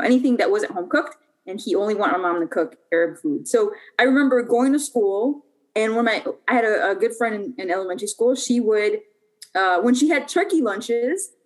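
Young woman on a podcast, chatty, lots of ums and restarts, slightly high voice. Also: rough recording. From Puerto Rico. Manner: chatty, many fillers and restarts. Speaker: podcast guest. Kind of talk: casual conversational storytelling.

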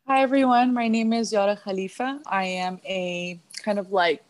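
A woman's voice: medium pitch, normal speed. A young woman, a medical student, speaking as a podcast guest in a candid, hesitant way with many fillers. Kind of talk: casual podcast interview.

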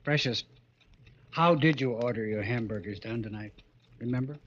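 An actor playing a jovial, glib husband in an old radio drama, slangy and teasing with patronizing endearments. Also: male voice, medium pitch, moderate pace, fairly bright tone, lightly acted. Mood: coaxing, dismissive. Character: jovial, glib husband, a police reporter, teasing his wife. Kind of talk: 1940s radio drama acting. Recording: noisy, dull lo-fi old radio recording.

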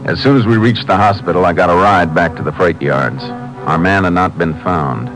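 An American actor playing a calm, methodical Texas Ranger in a 1950s radio drama, speaking in a measured, matter-of-fact way as investigator and narrator. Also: male voice, low-pitched, music in the background, lightly acted, low-quality recording. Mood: narrating, grave.

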